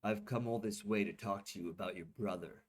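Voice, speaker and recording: A man voicing an earnest male colonel in a plain, steady voice close to his own. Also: fairly low pitch, brisk, slight background noise, close to an everyday voice.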